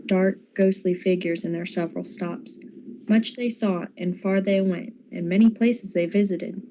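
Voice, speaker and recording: A woman narrating a radio drama like a storybook, with measured, literary delivery. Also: on the low side, steady tone, dark tone. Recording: muffled audio with background noise.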